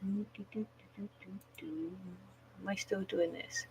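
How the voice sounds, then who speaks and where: Slow-talking, nasal woman. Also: mid-range voice, little pitch movement, vocal fry, a little hiss or hum, poor audio. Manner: giggles at her own jokes, swears casually, groans at bad puns. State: slightly nasal from a cold. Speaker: live streamer. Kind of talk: casual live-stream chat telling corny jokes.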